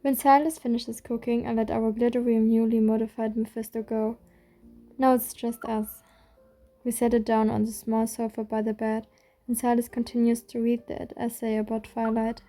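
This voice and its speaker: A woman reading a game's story aloud in a steady first-person voice. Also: fairly high pitch, normal speed, faint music behind. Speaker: reader.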